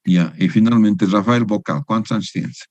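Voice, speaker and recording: Guy lecturing engineering on a video call, low voice, dry close sound, keeps asking "right?". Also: moderate pace, a little mellow, dry recording, lo-fi sound. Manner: didactic, keeps adding the tag question "no es cierto". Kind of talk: online university lecture.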